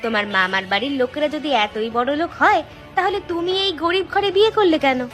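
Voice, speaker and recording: A woman's voice: fast talker, fairly bright tone. A woman voicing a blunt, confrontational younger village woman in a cartoon. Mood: defiant. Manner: high, needling, confrontational. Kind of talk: cartoon dialogue. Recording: music behind the voice.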